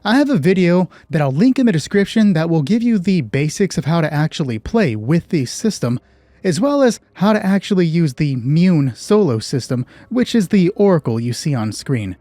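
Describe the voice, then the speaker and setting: A man's voice: on the high side, big pitch swings, moderate pace, mellow, dry recording. A man hosting a solo tabletop roleplaying game, narrating the story and explaining rules conversationally, thinking aloud with self-deprecating asides.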